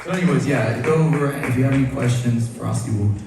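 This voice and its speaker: A man's voice: mid-range voice, fast, gravelly, music in the background. A young man from Nashville, fronting a band and telling a rambling, self-deprecating story between songs on stage. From Nashville, USA. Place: large concert club stage. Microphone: stage vocal mic through PA. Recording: echoey live concert hall from far off.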